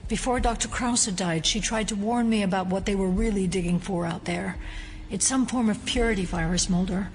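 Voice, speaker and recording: An actress playing a level-headed, sceptical FBI investigator in an audio drama, with a measured, serious delivery. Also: female voice, mid-range voice, a little mellow, slight background noise, natural voice, poor audio. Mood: urgent, grave.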